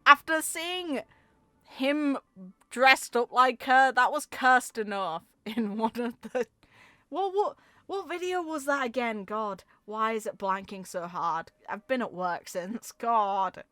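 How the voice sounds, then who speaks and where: A woman with a bright, forward voice reads game lore aloud in a dry, close-sounding recording. Her delivery is expressive, and she slips into informal commentary as she goes. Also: fairly high pitch, takes it slowly, no echo. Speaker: video game content creator.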